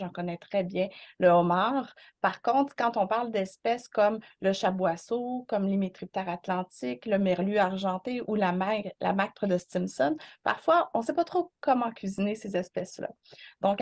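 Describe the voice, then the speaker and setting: A woman museum director giving a prepared video presentation, informative and measured, often using filler phrases. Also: medium pitch, vocal fry, a little mellow. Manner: informative, uses "en fait" often. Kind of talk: prepared promotional video address.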